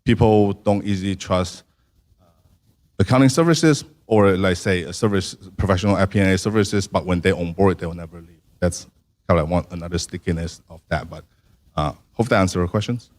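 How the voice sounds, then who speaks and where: A low-voiced man fields questions about his startup, pausing often and restarting his phrases. His delivery is halting and searching but keeps pressing on to answer. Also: a little mellow. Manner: halting, many fillers and restarts. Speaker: startup founder.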